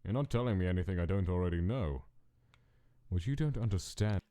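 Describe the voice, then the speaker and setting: Casting: cold male scientist villain acted by a man, very low and mellow, stern, probing, deliberate. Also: animated. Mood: cold, pressing. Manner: deliberate, stern interrogating delivery. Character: cold, menacing male mad scientist, controlling and coolly probing. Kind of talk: dramatic comic dub acting.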